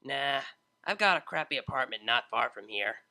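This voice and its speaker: A reader doing a brash, gravelly young unicorn DJ. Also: mid-range voice, lively intonation, normal speed, bright, forceful tone. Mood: casual. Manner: casual, slangy and blunt. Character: brash young female unicorn DJ, laid-back and teasing. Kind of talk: fan fiction reading, character voice.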